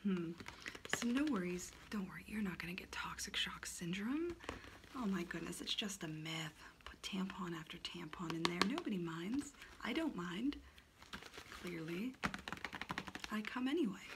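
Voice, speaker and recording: A woman's voice: fairly low pitch, expressive, moderate pace. A woman whispering as sassy Aunt Flow. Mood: teasing. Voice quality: soft whispering. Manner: teasing, cheeky. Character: sassy personified monthly period, a female aunt. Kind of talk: ASMR roleplay. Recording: noisy recording.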